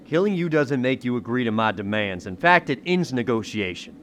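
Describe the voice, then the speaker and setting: A man's voice: mid-range voice, expressive, brisk, a bit bright, a little hiss or hum, natural voice. An actor playing a blunt, exasperated and sardonic company man in a 1930s-style radio mystery. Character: blunt, exasperated male company rival, protective and sarcastic. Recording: music behind the voice.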